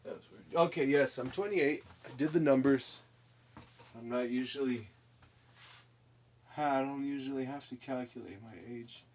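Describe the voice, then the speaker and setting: A man's voice: medium pitch, normal speed, long pauses. A man in his twenties hosting a live stream, casually chatting and giggling as he reads out messages. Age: 20s. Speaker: livestream host. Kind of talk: casual chat with chat while painting.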